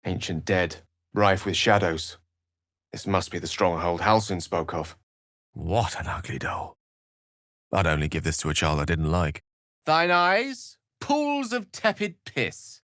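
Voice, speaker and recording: An actor playing an eloquent, theatrical wizard in a fantasy video game, learned, courtly and wry, with articulate, bookish phrasing. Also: male voice, low-pitched, sing-song, a little slow, a bit bright, no echo, studio quality, full character voice. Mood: disdainful.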